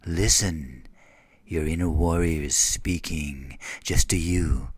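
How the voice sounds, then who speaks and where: Male narrator, deep and slow, meditative poetic script, hushed delivery. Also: husky, no echo.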